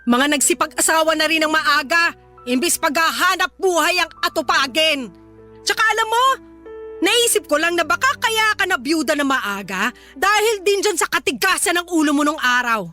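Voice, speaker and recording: A woman's voice: very high voice, expressive, normal speed, pushed and bright, soft background music, exaggerated voice. A woman playing a stern, irritable older grandmother in a Filipino radio drama, nagging and combative. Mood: scolding. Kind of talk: Tagalog radio drama dialogue.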